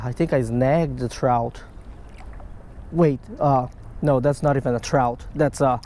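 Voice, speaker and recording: A man giving casual, excitable running commentary while fishing outdoors at a creek, talking to the fish and his viewers, recorded on a chest-mounted action camera. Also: a little high, slight background noise. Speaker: fishing vlogger. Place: outdoors by a creek under a stone bridge.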